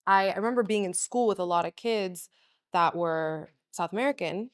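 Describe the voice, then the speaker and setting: A young woman hosting an interview, chatty and quick, with lots of fillers and laughs. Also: fairly low pitch. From US, Cuban parents. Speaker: host. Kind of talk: casual interview chat.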